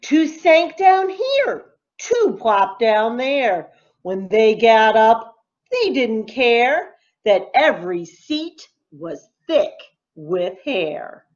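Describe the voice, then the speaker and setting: A woman teacher reading a rhyming picture book aloud to children in a deliberate, expressive, sing-song way. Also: a little high, lo-fi sound. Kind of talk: expressive storybook read-aloud in rhyme.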